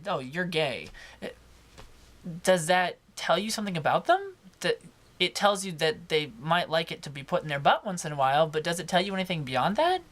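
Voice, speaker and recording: A young man with a naturally higher-pitched voice, talking casually and personally to camera as a video blogger, expressive and emphatic with drawn-out words.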